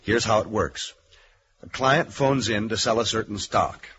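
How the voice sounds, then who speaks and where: An actor playing a callow young accountant and petty thief in a radio thriller, with an insinuating, self-satisfied manner. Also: male voice, on the low side, a little slow, a bit bright, husky, heavily acted. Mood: smug, amused. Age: young adult. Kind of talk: old radio thriller acting. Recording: dull radio recording, faint music.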